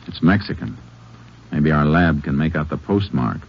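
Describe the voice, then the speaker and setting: Very deep-voiced laconic Texas Ranger. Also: male voice, animated, moderate pace, vocal fry, husky, exaggerated voice. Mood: matter-of-fact. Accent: American. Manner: laconic, matter-of-fact. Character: calm, methodical Texas Ranger investigator and narrator. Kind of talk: 1950s radio western acting and narration. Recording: noisy, dull 1950s radio recording.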